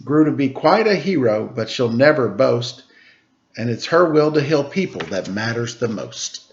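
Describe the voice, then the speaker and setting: Gravelly man reading a rhyming storybook. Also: medium pitch, animated, normal speed, lo-fi sound. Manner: rhythmic rhyming reading, then chatty asides. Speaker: teacher reading a picture book aloud. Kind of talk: storybook read-aloud for children.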